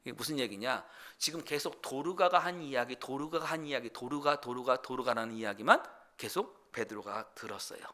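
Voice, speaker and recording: A man preaching a Sunday sermon as pastor, earnest, shifting between loud pleading passages and quiet explanation. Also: medium pitch, brisk, few pauses. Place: church sanctuary.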